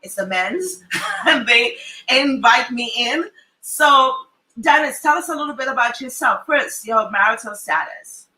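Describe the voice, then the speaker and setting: A woman with a Trinidadian accent hosts a relaxed panel chat, teasing her guests and laughing easily. Her voice is bright and fairly high. It rings in an echoey room, a little off the mic. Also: unhurried, far from the mic. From Trinidad and Tobago. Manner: chatty, teasing, laughs often. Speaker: host. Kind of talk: casual panel talk.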